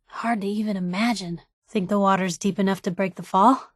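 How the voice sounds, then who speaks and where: A woman's voice: mid-range voice, fairly even intonation, fairly quick, no echo, studio quality, lightly acted. An actress playing a sardonic, plucky young woman reporter in a video game, with wry, conversational quips. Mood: wry, playful. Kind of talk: video game companion voice acting.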